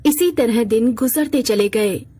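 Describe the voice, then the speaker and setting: A woman's voice: a little high, measured pace, mellow, slightly rough. A woman reading a scripted moral story as its narrator.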